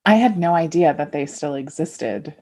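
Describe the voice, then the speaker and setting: Middle-aged woman, animated intonation, somewhat low pitch, casual podcast co-host, informal reminiscing. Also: moderate pace. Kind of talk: casual chat.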